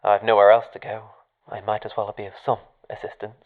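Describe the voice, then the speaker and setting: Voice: mid-range voice, monotone, a little fast. An actor playing a polite, evasive scientist with a hesitant, understated, formal manner over a radio channel in an audio drama. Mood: uneasy, polite. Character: evasive, polite, dryly calm scientist in charge of an experiment. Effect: radio channel filter. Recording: thin, phone-like radio channel.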